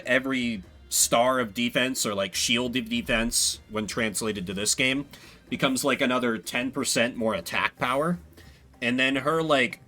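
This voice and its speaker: A man streams a game with casual, wry commentary in a bright, forceful voice. His pitch swings around in a lively way, with mock-dramatic asides. Faint music plays behind him. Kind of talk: casual solo gameplay commentary.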